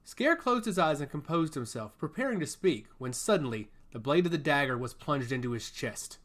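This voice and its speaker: A man's voice: fairly high pitch, sing-song, moderate pace, a bit bright. A man reading scripted fantasy fiction aloud as a storyteller. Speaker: audiobook narrator.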